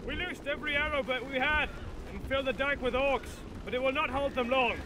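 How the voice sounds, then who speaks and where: A man voicing an urgent soldier reporting from battle in a fantasy audiobook, hurried in manner. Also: extremely high pitch, steady tone, noisy background, pitched above the performer's own voice. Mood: urgent, breathless. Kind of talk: dramatized audiobook character reading.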